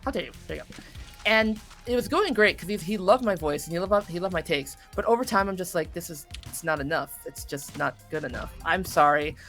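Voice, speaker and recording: A woman voice actress chatting casually as an interview guest, self-critical and animated, acting out her inner thoughts as she goes. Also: fairly low pitch, a little fast, soft background music. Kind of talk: casual chat while playing a video game.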